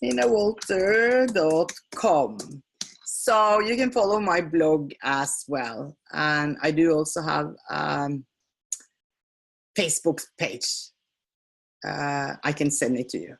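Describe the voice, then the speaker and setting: Non-native english lady hosting a webinar, slowish lower voice, lots of uh and um, keeps correcting herself. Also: gravelly, slightly rough. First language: not English. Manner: halting, with many fillers and self-corrections. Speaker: feng shui and decluttering teacher hosting a webinar. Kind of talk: live webinar sales pitch and Q&A. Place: home on a small island.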